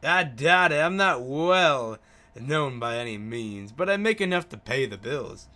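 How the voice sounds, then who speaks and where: A man's voice: mid-range voice, animated, unhurried, pushed and bright. A man voicing a polite, self-effacing male writer from a visual novel, speaking with some hesitation. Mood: modest. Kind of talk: visual novel character read aloud.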